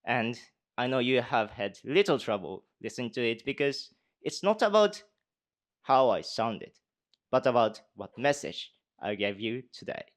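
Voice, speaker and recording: A young man in his twenties, a native Japanese speaker, using a posh British accent in a contest speech. His voice sits in an ordinary middle range. In unscripted answers he pauses often and fills gaps with hesitations. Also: medium pitch, lively intonation. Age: 20s. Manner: hesitant with many fillers when answering. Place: conference hall.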